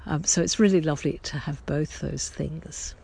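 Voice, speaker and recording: A writer keeping a daily audio diary, talking to listeners in a gentle, halting way with many pauses and self-corrections, a little hoarse after losing their voice that morning. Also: medium pitch. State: recovering from a lost voice, slightly hoarse. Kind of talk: slow reflective personal chat to listeners.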